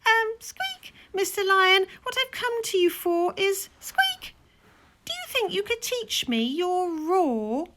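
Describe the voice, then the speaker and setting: Woman voicing a tiny squeaky storybook mouse. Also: very animated, slow pace, a bit bright, a little hiss or hum, exaggerated voice. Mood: nervous, polite. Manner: timid, squeaky, hesitant. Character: tiny, meek but plucky young male mouse. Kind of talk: storybook character voice.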